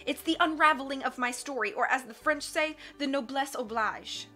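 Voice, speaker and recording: A cruel, theatrical villain gloats over his victims with mocking laughter and growling threats, as a woman puts him on. The voice runs bright and forceful, pitched a touch high, with faint music underneath. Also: lively intonation, normal speed. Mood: boastful.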